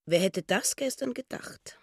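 A grasping, self-righteous housewife of middle age in a radio drama. The voice is rough and husky, with big swings in pitch, recorded dry and close. She talks in prim stock phrases, full of indignation. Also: expressive, a little slow, no echo. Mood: astonished, put out.

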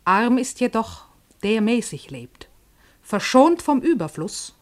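A stern old woman, Poverty in person, in a classical radio play. Her voice is fairly high and her delivery is declamatory. She scolds and lectures with great pride. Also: very animated, takes it slowly. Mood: proud, lecturing. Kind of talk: classical stage-style radio drama acting.

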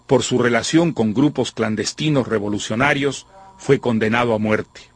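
A man presenting a radio novel with scripted literary narration in a formal, measured, erudite way. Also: mid-range voice, slightly rough. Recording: music behind the voice.

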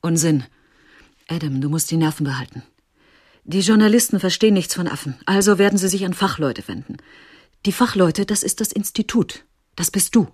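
A commanding, calculating woman in a radio play. Her husky, lowish voice is brisk and unsentimental, heard close and dry. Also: lively intonation, darkish tone, dry recording. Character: cool, commanding, calculating woman taking control of a crisis. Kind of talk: radio drama acting.

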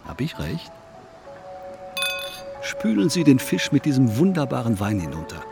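An actor playing a cynical, coolly provocative man in a stylised radio play, smug, needling and deliberate. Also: medium pitch, wildly swooping pitch, vocal fry, music in the background. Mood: mocking, smug.

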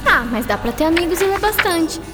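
A curious schoolgirl with a high voice, chattering and teasing in an expressive, informal way. It is a radio drama, set in long reverb with music behind. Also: fairly quick. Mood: curious, chatty.

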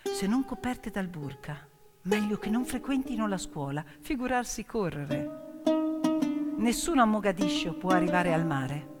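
A woman telling a story theatrically, moving between loud declamation and hushed phrases and repeating words for emphasis. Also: mid-range voice, big pitch swings, unhurried. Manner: dramatic delivery, shifting from loud exclaiming to hushed whispers. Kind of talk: theatrical storytelling, live narrative monologue. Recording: long hall reverb, music behind. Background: music, piano accompaniment.

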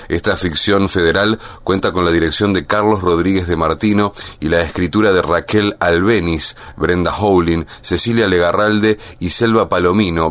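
Man, very low pitch, scripted radio narration, informative. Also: fairly bright tone.

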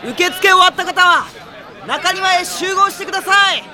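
Devoted, boyish young male mage, very high for a man, emphatic radio drama delivery. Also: moderate pace, pushed and bright, a faint crowd, heavily acted. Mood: busy, calling out. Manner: earnest, polite, emphatic. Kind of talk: Japanese radio drama acting.

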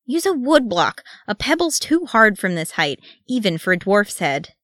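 A woman's voice: medium pitch, very animated, normal speed, a bit bright, dry recording, clean studio sound, pitched above the performer's own voice. A woman voicing a bossy, scornful young dwarf boy in a fantasy story, speaking in a snappy, taunting way.

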